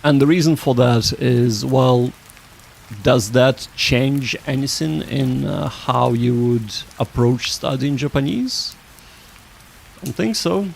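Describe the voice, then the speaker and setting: A man hosting a livestream, chatting casually with viewers in a halting, rambling way that often restarts sentences. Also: medium pitch, creaky, slight background noise. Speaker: livestream host.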